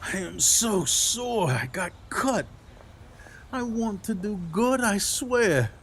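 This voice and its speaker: Male actor as remorseful petty criminal, injured, high voice, swooping intonation, radio play, slight background noise. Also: animated, slow, a bit bright, exaggerated voice. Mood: pained, remorseful. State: hurt, pained. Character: wounded, remorseful small-time crook, a girl's father. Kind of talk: audio play dialogue.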